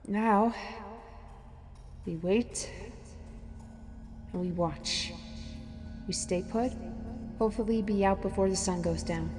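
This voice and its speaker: A woman plays a covert schemer in a fantasy audio drama, talking slowly and deliberately. Her voice trails long reverb, with music running behind it. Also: mid-range voice, darkish tone, lightly acted. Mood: watchful.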